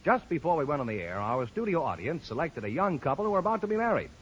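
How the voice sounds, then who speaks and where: A man's voice: on the high side, big pitch swings, quick pace, loud music behind the voice. An American man announcing a 1940s radio quiz show from a script. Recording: muffled vintage radio broadcast.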